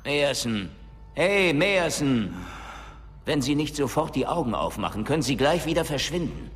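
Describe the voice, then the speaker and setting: An actor playing an impatient, domineering company boss in a German radio drama, brusque and given to cursing. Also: male voice, mid-range voice, sing-song, moderate pace, noisy background, close to an everyday voice. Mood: scornful, angry. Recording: long reverb, music underneath.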